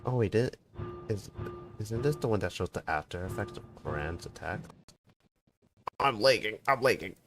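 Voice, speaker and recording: Man streaming a game, very low voice, gravelly, playful and loud, swears. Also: lively intonation, normal speed, pauses often, music in the background. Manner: playful and swearing, reacting loudly. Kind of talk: casual gameplay commentary.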